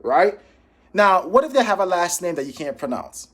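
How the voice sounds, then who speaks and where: A man's voice: sits high, very animated, moderate pace, a bit bright. A man from Jamaica giving an informal motivational training talk as a sales coach, emphatic, repeating key phrases and checking in with his listeners. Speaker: financial professional coaching sales calls. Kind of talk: informal motivational training talk with role-played phone examples.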